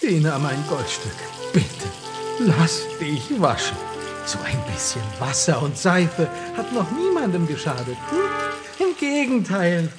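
A man's voice: a little high, sing-song, slow, loud music behind the voice. An actor playing a doting, exasperated king and father in a children's audiobook, coaxing and hesitant. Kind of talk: children's audiobook drama acting.

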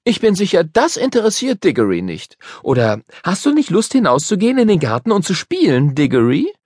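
A man's voice: sits high, huge pitch swings, a little fast, a little mellow, dry recording, clean studio sound, pitched above the performer's own voice, full character voice. A man voicing an unhappy young country boy in a storybook reading, talking earnestly and near tears. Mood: secretive. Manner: earnest, upset and tearful. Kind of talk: storybook character voice.

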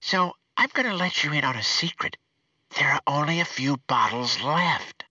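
A man's voice: fairly high pitch, lively intonation, moderate pace, sharp tone, breathy edge, no echo, recorded from a distance, lo-fi sound, voice pushed up, heavily acted. A man voicing a sly female mouse telephone operator in a children's audiobook, confiding and knowing, through a telephone effect.

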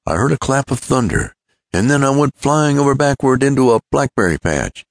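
A man's voice: mid-range voice, sing-song, normal speed, no echo, clean studio sound, pitched above the performer's own voice. A man voicing an eager, joking young male friend of an inventor in an adventure storybook, breezy, exclamatory and full of questions. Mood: rueful, chatty.

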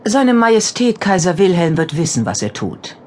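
Courteous, expressive German lady. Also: lowish voice, normal speed, a little hiss or hum. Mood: reserved, earnest. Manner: polite, composed, brief formal replies. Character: courteous, reserved, educated German lady of the 1910s. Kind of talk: audio drama dialogue.

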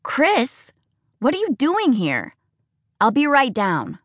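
A woman's voice: sits high, wildly swooping pitch, slow pace, dry recording, studio quality, voice pushed up, heavily acted. A woman voicing a worried teenage boy who calls out hurriedly.

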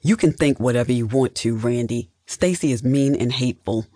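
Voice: medium pitch, normal speed, warm tone, dry recording, studio quality, natural voice. A narrator voicing an indignant, protective husband with a blunt, emphatic manner. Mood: bitter, frustrated.

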